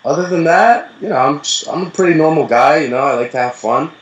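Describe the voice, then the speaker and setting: A young man from the Bronx, chatting casually as an interview guest, relaxed and rambling with many fillers. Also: fairly high pitch, fairly quick, echoey room, muffled recording. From the Bronx, New York.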